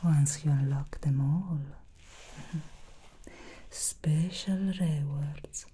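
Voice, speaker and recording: A woman voicing an alluring, scheming female demon hairstylist in an ASMR roleplay, speaking in a slow seductive whisper. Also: low-pitched, warm tone, a little hiss or hum. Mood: sly whisper.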